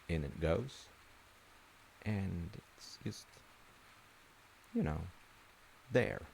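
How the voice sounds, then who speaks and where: A man's voice: sits low, creaky, darkish tone, poor audio. A man telling a folktale in a casual, conversational way, with wry, hesitant asides. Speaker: storyteller in an audio roleplay, playing a dad. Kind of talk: casual bedtime folktale storytelling. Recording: hissy recording with rain noise. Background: rain and hiss.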